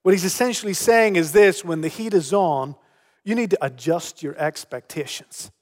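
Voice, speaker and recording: A man's voice: sits high, moderate pace, darkish tone. A middle-aged man preaching a sermon in earnest, often repeating words as he starts a phrase. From Spain. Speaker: pastor. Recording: long hall reverb.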